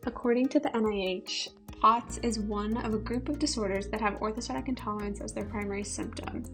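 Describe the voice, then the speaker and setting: A woman narrating and asking interview questions in a measured, explanatory way. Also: medium pitch, steady tone, fairly bright tone, faint music behind. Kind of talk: scripted narration.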